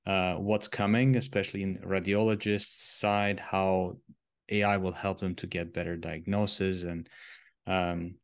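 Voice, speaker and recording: A man in his thirties from Armenia, speaking English as a podcast guest, explaining his work in a measured way and sometimes searching for words. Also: fairly low pitch, clean tone, dry recording, clean studio sound. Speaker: guest, company co-founder. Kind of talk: podcast interview answers.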